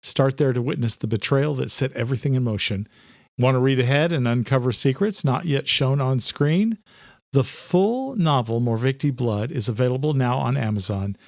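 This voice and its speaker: A man's voice: mid-range voice, moderate pace, creaky, dry recording, clean studio sound. A man reading scripted horror thriller narration as an audiobook narrator.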